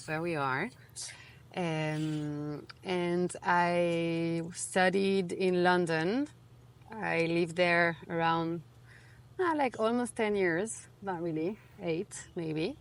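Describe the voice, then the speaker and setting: A woman in her thirties with a French accent, a guest in a relaxed interview, talking casually and full of fillers. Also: lowish voice. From France. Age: 30s. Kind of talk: casual conversation.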